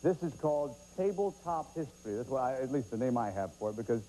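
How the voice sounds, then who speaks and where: A man's voice: mid-range voice, quick pace, mellow, a little hiss or hum, rough recording. A man hosting a late-night talk show, teasing his guests and laughing often. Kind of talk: joking talk show banter.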